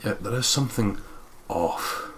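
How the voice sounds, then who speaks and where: Guy with a low voice narrating a visual novel, sweary joking asides, bit of room noise behind him. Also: expressive, a little slow, fairly bright tone. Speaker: let's play host. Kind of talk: reading visual novel narration aloud with jokey commentary.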